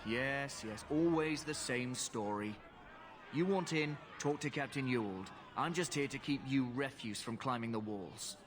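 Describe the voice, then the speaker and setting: A gruff gate guard voiced by a man with open contempt for the people in front of him. He speaks bluntly and dismissively at a middling pitch, as in a fantasy game, over clear outdoor noise. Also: a little slow, close to an everyday voice. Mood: weary, dismissive. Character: gruff, contemptuous city gate guard turning refugees away. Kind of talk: video game voice acting.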